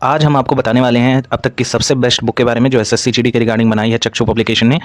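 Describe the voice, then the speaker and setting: Male explainer host, mid-pitched, informal, speaking straight to students, dry recording. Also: little pitch movement, fast talker, no echo. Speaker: exam-news presenter for job aspirants. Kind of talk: informal explainer narration to camera-style audience.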